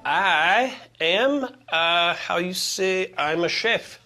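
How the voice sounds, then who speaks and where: Male actor as French Canadian chef-student; Quebec French accent, gropes for English words, higher pitch, music underneath. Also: animated, sharp tone, heavily acted. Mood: earnest. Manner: halting, searching for English words. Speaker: language student.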